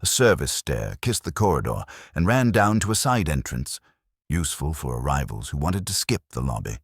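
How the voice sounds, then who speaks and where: A man reading a first-person detective story as an audiobook narrator, in a measured hardboiled style. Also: very deep voice, very animated, slightly rough, dry recording, clean studio sound.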